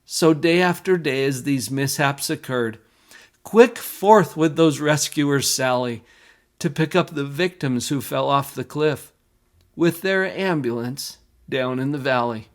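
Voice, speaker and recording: A man reciting a poem in a deliberate, expressive storytelling manner. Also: medium pitch. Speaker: poem reader. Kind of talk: dramatic poetry recitation.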